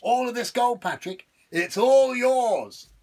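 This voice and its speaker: A man voicing a small male devil who is trapped in a box, pleading and shouting in a high voice. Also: takes it slowly, exaggerated voice. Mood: wheedling. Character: shrill trapped male devil, wheedling and frantic.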